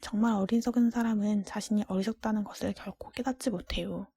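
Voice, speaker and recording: A woman voicing a kind, honest, resolute young woman in a fairy tale, polite and tender in a breathy whisper. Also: mid-range voice, steady tone, a little fast, mellow, no room sound. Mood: candid, kind. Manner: polite, candid, tender speech.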